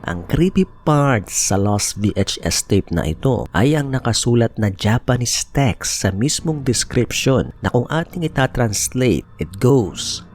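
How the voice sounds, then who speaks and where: A man's voice: medium pitch, animated, measured pace, few pauses, a little mellow. A Filipino man narrating a scripted horror explainer as a storyteller, mixing in English phrases. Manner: storytelling, switches between Tagalog and English phrases. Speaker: YouTube narrator.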